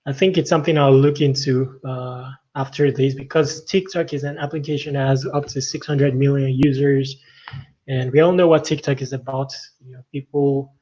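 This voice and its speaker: A middle-aged man giving casual tech news commentary as a livestream host, talking in a halting, rambling way with frequent verbal tags. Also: medium pitch, steady tone, warm tone. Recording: dull, lo-fi microphone sound.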